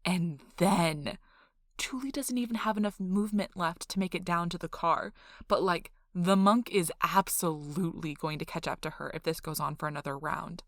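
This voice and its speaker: A woman playing an excitable, nerdy young girlfriend who rambles casually about her tabletop game in an animated ASMR role-play. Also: on the low side, normal speed, dry recording, clean studio sound, close to an everyday voice. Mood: thrilled. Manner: animated, breathless emphasis, frequent "like" asides.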